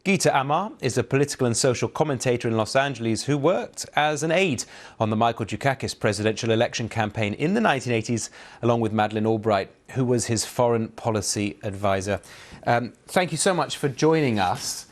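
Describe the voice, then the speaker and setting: A man's voice: mid-range voice, a little fast. Male news presenter. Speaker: news presenter interviewing a guest. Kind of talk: broadcast news presenting and interviewing.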